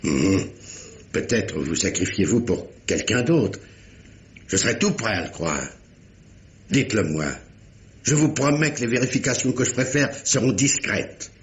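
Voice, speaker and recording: A man playing a stern examining magistrate in an old-style French radio mystery, formal, probing and deliberate. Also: fairly low pitch, very animated. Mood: coaxing. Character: stern examining magistrate, paternal uncle, insistent and measured.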